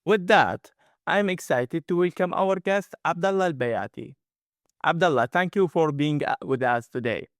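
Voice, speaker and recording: A man's voice: fairly high pitch, animated, moderate pace, no echo, studio quality. A man hosting a fireside chat, reading prepared questions with some nonstandard grammar. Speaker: host.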